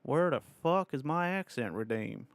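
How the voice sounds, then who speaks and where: A man's voice: medium pitch, expressive, moderate pace, no echo. British man putting on a Southern cowboy drawl. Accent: put-on American Southern cowboy drawl. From United Kingdom. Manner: self-conscious, profane, playful rambling in a put-on accent. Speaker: livestreamer talking to chat. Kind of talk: casual livestream chat.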